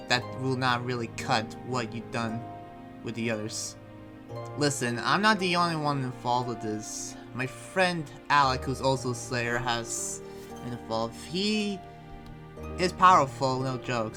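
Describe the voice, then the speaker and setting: A man playing an earnest, troubled demon slayer and researcher in an improvised anime game roleplay, speaking haltingly and often trailing off. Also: mid-range voice, natural voice.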